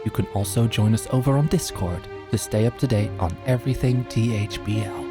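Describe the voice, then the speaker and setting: A man's voice: lowish voice, fairly quick. A man reading the closing credits and support notes as the show's producer. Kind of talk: scripted outro announcement. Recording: dry with faint music behind.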